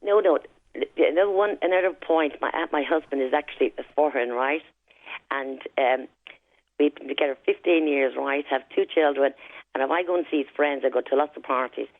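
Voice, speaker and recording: A woman's voice: low voice, a little fast, dry recording. Emphatic Irish woman on a tinny phone line. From Ireland. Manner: emphatic, repeats "right?" and "you know". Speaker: caller. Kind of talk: phone-in call. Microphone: telephone line.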